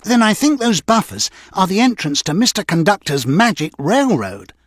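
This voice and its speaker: An excitable little engine from a storybook, voiced by a man. He speaks excitedly, pitched up from normal talk, with lively swings. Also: normal speed, dry recording, clean studio sound.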